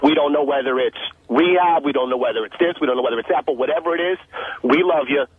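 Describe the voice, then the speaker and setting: Husky American man on a phone line. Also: on the high side, quick pace, dry recording. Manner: earnest, insistent. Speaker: phone-in caller. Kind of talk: unscripted earnest phone conversation. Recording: narrow, dry phone line.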